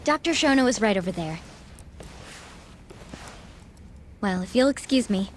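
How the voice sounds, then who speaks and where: A woman's voice: medium pitch, animated, fast, slight background noise, natural voice. A woman playing an articulate, earnest medical research expert explaining things in a video game. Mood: polite. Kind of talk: expository video game dialogue.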